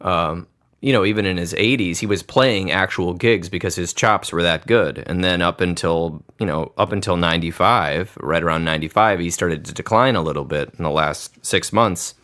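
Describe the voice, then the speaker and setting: A podcast host with a fairly low voice leads the conversation. He thinks aloud as he talks, reflective and a little searching for words. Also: normal speed, a bit bright. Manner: thoughtful, reflective, musing aloud. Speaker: podcast host, grandson of the pianist. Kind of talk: conversational interview.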